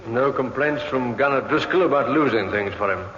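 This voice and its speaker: A man plays a methodical police detective in a vintage radio drama. He is formal, polite and terse, with a mid-pitched voice. The sound is echoey and muffled. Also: a little fast, a bit bright, close to an everyday voice. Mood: inquiring. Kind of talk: 1950s radio drama acting.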